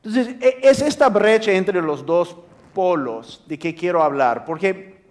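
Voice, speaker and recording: A man, an English speaker, giving a seminar talk in a theater with halting speech, frequent restarts and fillers. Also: sits high, expressive, darkish tone. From United States. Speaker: guest speaker. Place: theater stage.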